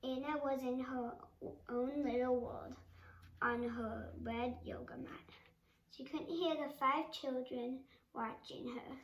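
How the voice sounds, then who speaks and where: A female reader reading a storybook aloud, haltingly and sounding out some words. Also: high-pitched, fairly even intonation, far from the mic, lo-fi sound. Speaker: read-along reader. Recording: roomy sound with faint background noise.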